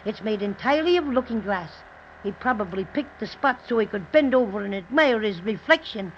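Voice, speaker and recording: A woman playing a small, brisk, kindly toy bear guide in a 1930s radio play. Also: mid-range voice, expressive, lightly acted. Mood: cautious, disapproving. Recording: muffled noisy 1930s radio recording.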